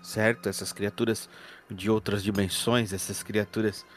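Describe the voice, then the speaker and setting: A man as an earnest, halting detective. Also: fairly low pitch, little pitch movement, faint music behind, natural voice. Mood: hesitant, curious. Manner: deliberate, halting, formal phrasing. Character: earnest, formal, uneasy male detective in horror roleplay.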